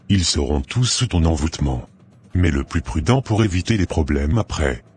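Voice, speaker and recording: A man voicing a sinister, commanding older marabout sorcerer in a French cartoon, speaking in a measured, solemn way. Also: low voice, a little mellow, breathy edge. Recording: music behind the voice.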